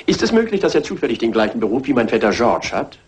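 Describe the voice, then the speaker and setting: Man acting hotel owner, medium pitch, very lively intonation, quick, formal, fussy, radio drama. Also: lightly acted. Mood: courteous, probing. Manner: formal, effusive.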